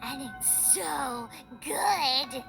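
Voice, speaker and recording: A woman voicing a tiny, excitable, childlike fairy companion in a video game, with a squeaky voice and bubbly, emphatic delivery. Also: drawn-out words, gravelly, music in the background, exaggerated voice. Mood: excited, proud. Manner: bubbly, emphatic, refers to itself in third person. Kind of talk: animated video game voice acting.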